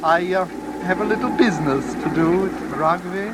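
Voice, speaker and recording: A courteous, smug English gentleman with sinister knowledge, played by a man, speaking in a fairly high voice. It is 1940s radio acting, with clear background noise over the old recording. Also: moderate pace, natural voice. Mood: courteously dismissive. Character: genial, knowing English stranger hinting at dark secrets.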